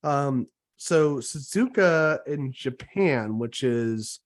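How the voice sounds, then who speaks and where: A young man hosts a loose podcast conversation, still getting over a cold, with a cough now and then. He tells stories with animation and swears freely. His voice sits at a middle pitch. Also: lively intonation, extremely slow. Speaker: podcast host. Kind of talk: casual chat.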